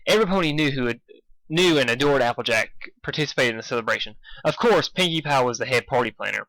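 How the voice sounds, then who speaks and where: A man's voice: mid-range voice, expressive, quick pace, fairly bright tone, dry recording, poor audio. A man narrating a story in an amateur way, stumbling over words and correcting himself. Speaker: fan fiction reader.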